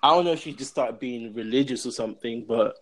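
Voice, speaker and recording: A man's voice: mid-range voice, fast talker. A young man with a London accent, chatting and laughing often as a podcast guest. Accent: London English. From England. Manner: laughs often, animated storytelling. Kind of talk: casual chat.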